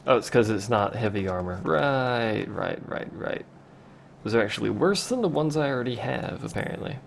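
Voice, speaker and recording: A man's voice: mid-range voice, animated, normal speed, gravelly, slight background noise. A young man casually commenting while playing a game on a livestream, answering chat with wry asides. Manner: chatty, reads chat questions aloud, wry asides. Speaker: let's play streamer.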